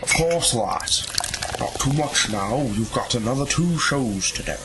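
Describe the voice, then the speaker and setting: Man playing a gruff kindly barkeep, deep voice over loud dish clatter and frying. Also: expressive, measured pace, heavy background noise, natural voice.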